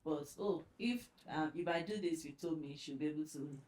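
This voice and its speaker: Deep-voiced woman doctor. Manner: warm storytelling, quick to laugh. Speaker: pediatric doctor and guest. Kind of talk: panel chat with personal anecdote.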